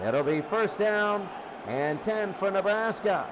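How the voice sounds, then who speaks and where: A man calling football play-by-play live in an excitable, exclamatory way, often repeating phrases. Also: high-pitched, takes it slowly, noisy background. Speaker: play-by-play sports commentator. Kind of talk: live American football commentary.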